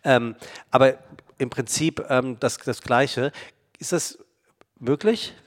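A man's voice: medium pitch, takes it slowly. Male host, 40s, mid-range voice, long musing questions, recorded with long reverb. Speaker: podcast host. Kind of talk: casual podcast interview.

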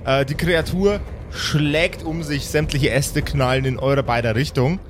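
A man's voice: a little high, fairly even intonation, measured pace, a little hiss or hum. A man acting as game master, improvising narration and chatting casually with his players. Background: prominent background music.

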